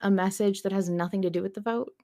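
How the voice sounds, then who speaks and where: A woman's voice: medium pitch, steady tone, fast, warm tone. A woman with a mid-range voice hosts a fan discussion show. She talks informally, as in a casual chat between co-hosts. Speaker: podcast host.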